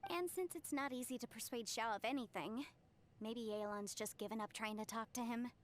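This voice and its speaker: A woman's voice: on the high side, a little fast, slight background noise, lightly acted. A woman voicing a tiny chatty female floating companion who speaks of herself in the third person. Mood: musing, teasing. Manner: childlike, talks about herself in third person.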